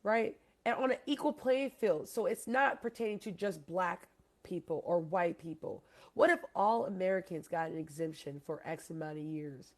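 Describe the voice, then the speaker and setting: A woman's voice: on the low side, moderate pace. A woman from Hawaii hosting a casual debate, talking in a rambling, emphatic way and often putting questions to her listeners. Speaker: host.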